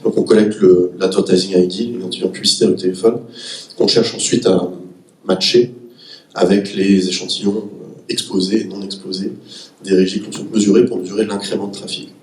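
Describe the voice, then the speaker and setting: A man's voice: deep voice, flat delivery, fairly quick. A man who has a cold, explaining his technical business on a round-table panel. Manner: explanatory, technical, self-correcting. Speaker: panelist, startup executive. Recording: echoey room, far from the mic.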